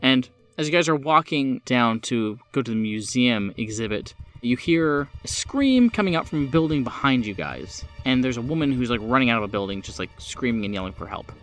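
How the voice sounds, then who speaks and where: Male game master, improvised tabletop narration, casual delivery, average pitch, music bed underneath. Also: expressive, brisk, hardly any pauses. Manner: casual, conversational. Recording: music behind the voice.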